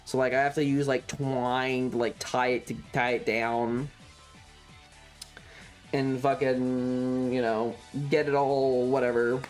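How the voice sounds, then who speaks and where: A man's voice: mid-range voice, little pitch movement, takes it slowly, soft background music. A man telling a story casually on a livestream, rambling and swearing in an exasperated way, acting out bits of dialogue as he goes. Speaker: streamer.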